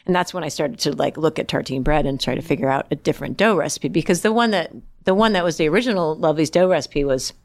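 Low-voiced chef, rambling chat. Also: female voice, quick pace, few pauses, darkish tone, dry recording. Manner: rambling, restarts, many "like"s. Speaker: chef and restaurant owner. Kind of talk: casual interview answers.

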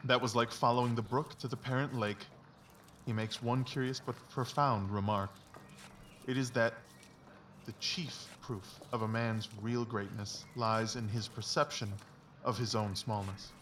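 A man playing a brilliant, confident, philosophical detective in a dramatized audiobook, explaining things in a measured, self-assured way. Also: mid-range voice, fairly even intonation, a bit bright, far from the mic, a little hiss or hum, close to an everyday voice. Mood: musing, philosophical.

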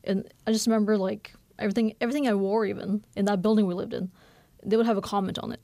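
A woman in her thirties, a novelist who grew up speaking Norwegian and has roots in Bangladesh, talking candidly and quickly in a radio interview with lots of fillers. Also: medium pitch, vocal fry, no room sound. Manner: fast, candid, animated with many fillers. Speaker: novelist guest.

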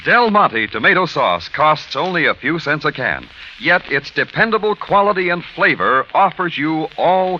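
High-pitched American vintage radio announcer man. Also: a little hiss or hum. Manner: polished, emphatic sales delivery. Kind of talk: 1940s radio commercial and credits announcing. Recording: muffled vintage radio recording, music behind.

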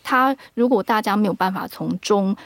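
A woman's voice: mid-range voice, moderate pace, dry recording. Earnest Taiwanese woman, dry sound. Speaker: audio drama producer and guest. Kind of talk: casual explanatory interview talk.

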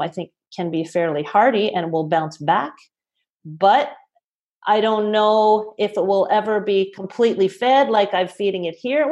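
A woman from Toronto, a health practitioner, gives measured explanations and is careful to call her ideas observations. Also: medium pitch, darkish tone. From Toronto, Canada. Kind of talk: explanatory interview answers.

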